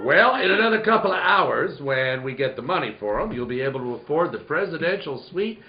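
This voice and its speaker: A man's voice: a little high, animated, a little fast, a crowd in the background. A man playing a gloating, blustering crooked cattle broker in an old-time radio western. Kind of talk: 1940s radio western acting. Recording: muffled, echoey room with people around.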